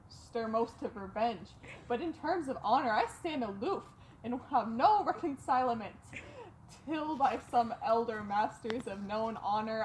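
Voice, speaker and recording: A woman's voice: fairly high pitch, a little hiss or hum, close to an everyday voice, rough recording. A woman playing a proud, wronged young nobleman in an amateur home video, reciting stiffly.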